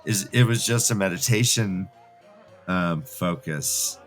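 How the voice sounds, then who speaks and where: A man's voice: low voice, takes it slowly, faint music behind. A man co-hosting a podcast, discussing and bantering casually in a thoughtful, hesitant, wry way. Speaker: podcast co-host.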